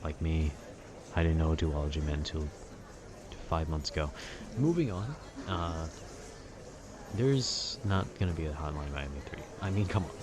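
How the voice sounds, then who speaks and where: A young man giving a calm, informal, wry rambling monologue about video games. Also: rumbling low, moderate pace, long pauses, noisy background. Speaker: video creator.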